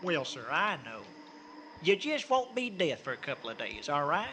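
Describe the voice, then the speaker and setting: A man's voice: fairly high pitch, very animated, normal speed, sharp tone, slight background noise, exaggerated voice. An actor playing an easygoing small-town man who talks in a folksy rural dialect and stammers, in an old-time radio drama.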